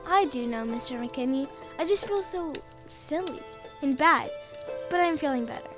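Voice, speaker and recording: A woman's voice: high-pitched, expressive, normal speed. A young performer voicing Anne, a curious, worried young girl asking questions. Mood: earnest. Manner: questioning, earnest. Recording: music under the voice.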